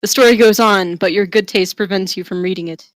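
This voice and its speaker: A woman's voice: mid-range voice, fairly quick, no room sound, rough recording. Woman reading dramatically, close and dry. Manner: theatrical, tongue-in-cheek. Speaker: reader. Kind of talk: dramatic reading of fantasy romance.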